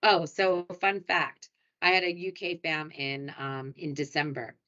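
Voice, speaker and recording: A woman with a New York accent talks through a webinar in a chatty, upbeat way and pokes fun at herself. Her voice sits a little low. The sound is muffled and lo-fi, as if it comes through a computer mic on a video call. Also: level tone, measured pace, bright, forceful tone. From New York City. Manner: chatty, upbeat, self-deprecating. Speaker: hotel sales director presenting a webinar. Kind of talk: casual webinar presentation.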